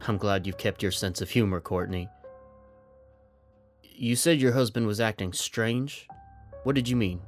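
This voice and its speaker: A man playing a stranded young army corporal in an audio drama, resigned and stoic, measured and wry. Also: on the low side, lightly acted. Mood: curious, sober. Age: young adult. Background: background music.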